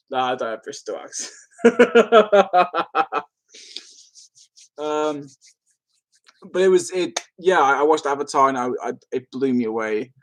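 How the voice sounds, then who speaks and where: Male live streamer, pitched a little high, chatty and rambling, quick to giggle, informal delivery. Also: expressive. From London, England. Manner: rambling, easily amused, giggly. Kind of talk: casual chat, answering live comments.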